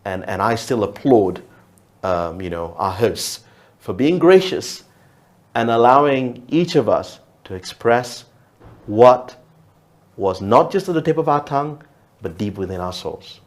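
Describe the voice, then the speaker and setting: Reflective Ugandan man, halting speech. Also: mid-range voice, animated. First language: Luo. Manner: reflective, many restarts and repeated words. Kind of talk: TV talk show conversation.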